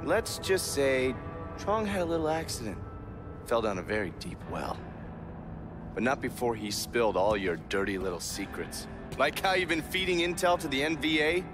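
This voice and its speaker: Actor as cocky, foul-mouthed American agent, music underneath. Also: male voice, medium pitch, expressive, moderate pace, natural voice. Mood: mocking, confident. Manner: sardonic, taunting, profane. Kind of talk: video game cutscene acting.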